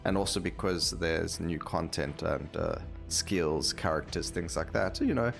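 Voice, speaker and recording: Very low-voiced man doing game commentary. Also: measured pace, gravelly, faint music behind. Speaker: gaming video host. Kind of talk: casual gameplay commentary.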